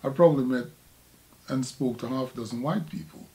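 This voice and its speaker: An older man from Jamaica with a Jamaican accent, an artist recalling his past in a halting, reflective conversation. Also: medium pitch, mellow, rough recording. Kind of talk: casual recollection in conversation.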